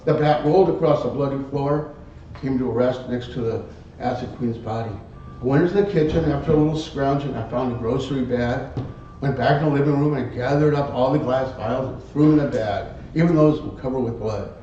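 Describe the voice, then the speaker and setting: A man telling a tall tale live as a contest speech, theatrically, in short halting phrases. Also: dark tone. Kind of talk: live dramatic storytelling speech. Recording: very echoey room, distant muffled mic, rumble.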